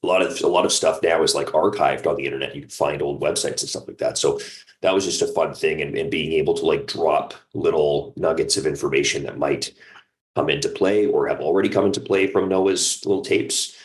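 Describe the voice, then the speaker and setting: A man with an exceptionally deep voice. He chats casually as a guest in an interview, talking like a creator at ease explaining his work. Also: brisk. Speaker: audio drama creator and actor, interview guest.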